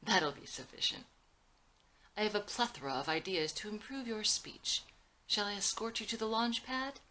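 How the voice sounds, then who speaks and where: A woman's voice: medium pitch, normal speed, sharp tone, voice pushed up, natural voice, low-quality recording. A woman voicing a clever, polite eighteen-year-old grandson, earnest and mock-formal, in a screenplay read-through.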